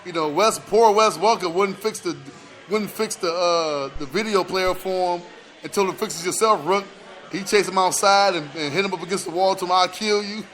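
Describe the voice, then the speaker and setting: A man's voice: sits high, moderate pace, a bit bright. An American man co-hosting a sports radio show, talking in casual slang and repeating words and phrases for emphasis.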